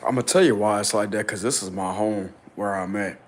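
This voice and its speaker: A man vlogging casually and insistently from a small rented room, with a deep, loud voice. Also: fairly quick. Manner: excited, insistent, slangy. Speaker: spiritual vlogger. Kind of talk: casual vlog to camera.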